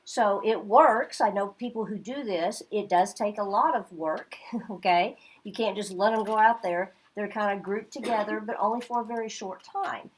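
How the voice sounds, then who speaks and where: A woman's voice: medium pitch, moderate pace, slightly rough, rough recording. A middle-aged woman from Oklahoma teaching a class, chatty and checking in with her students as she goes. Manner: chatty, checks in with "okay?" often.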